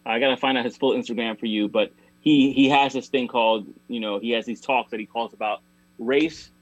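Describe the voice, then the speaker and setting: A man talks as a guest in a relaxed interview, in a mid-range voice. He builds his points through everyday analogies. The sound is dry and close, with no room echo. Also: medium pitch, brisk. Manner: conversational, explains with analogies. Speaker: interview guest, music artist. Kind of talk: casual interview conversation.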